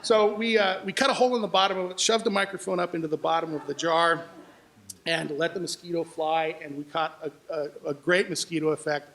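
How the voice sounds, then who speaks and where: A man's voice: a little high, steady tone, brisk, fairly bright tone. A man speaking as a sound editor, telling anecdotes in a public presentation.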